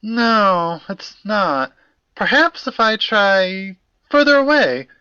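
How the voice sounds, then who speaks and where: A man's voice: sits high, very animated, slow pace, pushed and bright, lo-fi sound, slight background noise, exaggerated voice. A man playing a prim, earnest eccentric in a fiction podcast, pompous and florid, with formal diction. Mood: eager. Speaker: actor in an audio drama.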